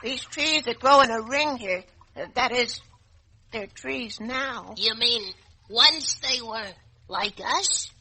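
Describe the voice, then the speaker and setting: High, bright, cocky toy soldier. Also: female voice, slow pace, breathy edge, full character voice, poor audio. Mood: wary. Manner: brash, slangy, wisecracking. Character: cocky slangy wooden toy soldier, a male marine. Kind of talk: old-time radio drama acting.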